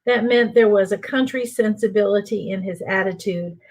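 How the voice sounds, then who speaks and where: An older woman from New Orleans reading aloud from her own book in a measured, deliberate way for an online reading. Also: medium pitch, darkish tone. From New Orleans, USA. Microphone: home computer mic for an online reading.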